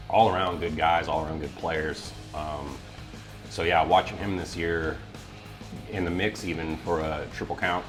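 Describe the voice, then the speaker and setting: A man chatting casually as an interview guest, in a laid-back, joking way. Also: a bass voice. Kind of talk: casual chat.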